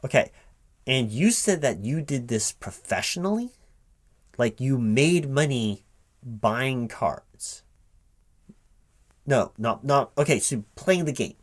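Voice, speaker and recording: Male, mid-pitched, for spoken ASMR roleplay as a recruiting manager; improvised, hesitant, confused questioning. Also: lively intonation. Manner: halting, puzzled, repeating things back as questions. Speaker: performer playing a recruiting manager interviewing a job applicant.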